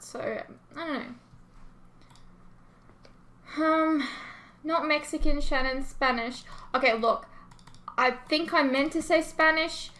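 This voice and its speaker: High, bright-voiced Australian woman. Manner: informal, self-deprecating, rambling. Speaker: host of a TV reaction channel. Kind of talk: casual chat to viewers, reading and answering comments.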